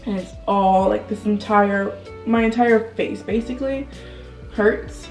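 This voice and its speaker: A woman's voice: mid-range voice, fairly even intonation, measured pace, darkish tone, echoey room, distant mic, faint music behind. Congested young woman chatting candidly. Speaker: vlogger. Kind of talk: casual vlog chat.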